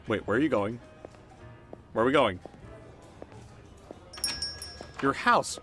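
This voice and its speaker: A man acts out a cocky delinquent teenage boy from the rough side of town in an ASMR audio roleplay. He talks casually to the listener with wry, sarcastic banter at a medium male pitch. Music plays faintly behind him. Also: expressive, normal speed, a bit bright, close to an everyday voice. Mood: incredulous, nervous.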